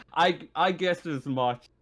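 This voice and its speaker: A man working as game master, improvising narration of a tabletop roleplaying game in a halting way, often stammering and repeating words. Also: a little high, a bit bright, a little hiss or hum.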